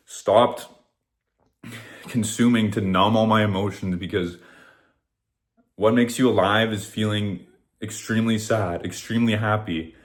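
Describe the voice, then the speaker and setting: Rambling, cursing man, slightly low voice. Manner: rambling, profane, repeats "whatever". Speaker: vlogger. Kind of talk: casual motivational rant.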